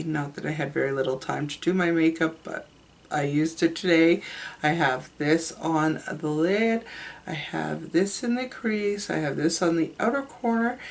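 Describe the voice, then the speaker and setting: A woman with a stuffed-up, sick voice, chatting candidly to camera about her makeup. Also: low-pitched. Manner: chatty, candid, trails off and restarts. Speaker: beauty vlogger. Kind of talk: casual makeup review to camera.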